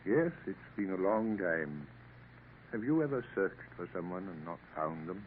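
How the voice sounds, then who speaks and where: A man's voice: low-pitched, sing-song, normal speed. A weary, wistful old father. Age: older. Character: weary older father back from abroad, gentle. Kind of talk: 1950s radio acting. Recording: tinny old radio broadcast, faint hiss.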